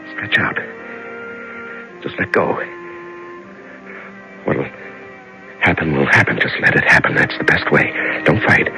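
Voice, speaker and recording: An actor playing a frightened, lonely man locked in the dark, muttering haltingly to himself in a 1970s radio drama. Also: low-pitched, exaggerated voice, poor audio. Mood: resigned. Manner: halting, muttering to himself, swinging from whispers to cries. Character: frightened lonely man locked in solitary darkness, clinging to sanity. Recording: vintage radio drama recording with music.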